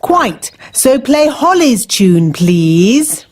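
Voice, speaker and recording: Fairy nanny, British, cartoon, bossy, clipped, highish voice, measured pace. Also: female voice, big pitch swings, heavy background noise, heavily acted. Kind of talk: children's cartoon acting.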